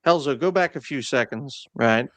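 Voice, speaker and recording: A man's voice: medium pitch, moderate pace, a bit bright, dry recording. A man chatting on a podcast panel, sarcastic and crude as he comments. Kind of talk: casual chat.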